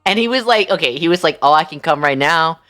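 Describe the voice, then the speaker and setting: A man's voice: a little high, expressive, fast, sharp tone. A man chatting casually on a livestream in a sassy, campy, slangy way peppered with swearing. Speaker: live streamer.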